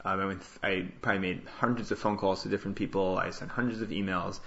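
Male interview guest from Queens, creaky low voice, casual, halting, self-correcting explanations. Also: fairly even intonation, a bit bright, lo-fi sound. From Queens, New York, United States. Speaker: interview guest, startup founder and CEO. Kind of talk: casual conversational interview answers.

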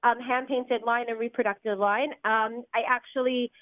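A woman's voice: on the high side, steady tone, normal speed, dry recording. A woman calling in as a guest on a radio show, friendly and hesitant with many fillers, heard over a phone line. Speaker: guest, business owner.

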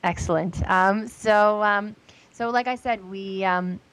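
A woman's voice: mid-range voice, steady tone, slow pace, fairly bright tone, smooth, low-quality recording. A woman hosting a casual podcast interview, earnest and speaking with many "um"s and "like"s. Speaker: podcast host.

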